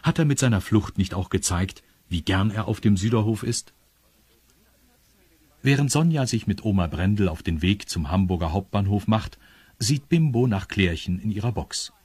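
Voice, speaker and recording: Deep, husky male storyteller. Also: moderate pace, darkish tone, no echo. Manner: confiding, addresses young listeners directly. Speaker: narrator. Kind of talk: scripted storytelling narration for children. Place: studio.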